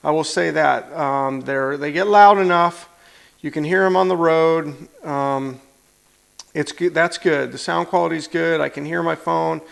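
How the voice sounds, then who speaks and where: A man with a fairly high-pitched voice and a noticeable gravelly creak gives a casual hands-on review. He is plain-spoken and blunt, explaining things as he handles them. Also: measured pace, a bit bright. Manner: plain-spoken, blunt, demonstrating while talking. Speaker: product reviewer, motorcycle channel host.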